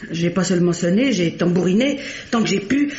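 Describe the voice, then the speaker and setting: A woman's voice: fairly low pitch, expressive, brisk, a little mellow, close to an everyday voice. An earnest, talkative female concierge played by an actress in a mid-century radio play. She is deferential and keen to explain, heard in a roomy, muffled recording with faint noise. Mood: earnest recounting. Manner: chatty, deferential, eager to explain. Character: earnest, fussy female building concierge, working-class. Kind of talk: mid-century French radio drama acting. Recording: dull, roomy, noisy vintage radio recording.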